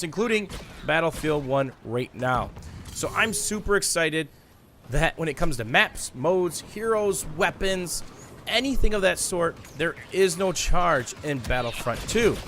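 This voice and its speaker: A man with a slightly high voice narrates gameplay for his viewers in an emphatic presenter style. He draws out the words he wants to stress. Faint game ambience and noise sit behind his voice. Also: expressive, moderate pace. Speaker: gaming YouTuber. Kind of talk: scripted-sounding gameplay commentary, addressing viewers. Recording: clear background noise.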